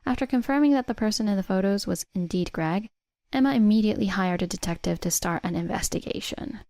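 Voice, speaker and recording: Female narrator for first-person drama stories: measured read, mid pitch, creaky edge, very dry sound. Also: animated, a little mellow, no echo. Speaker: story narrator.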